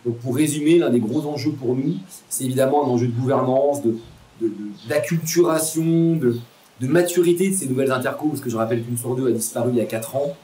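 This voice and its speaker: A man's voice: mid-range voice, fast, dark tone. A man giving formal expert answers in an interview as an association president, emphatic and rephrasing as he explains. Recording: roomy echo, faint background noise.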